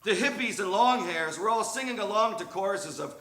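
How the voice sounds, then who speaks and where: A man reading a memoir story aloud at a live show in a theatrical, emphatic, drawn-out manner, recorded in a roomy stage space. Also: high-pitched, fairly bright tone.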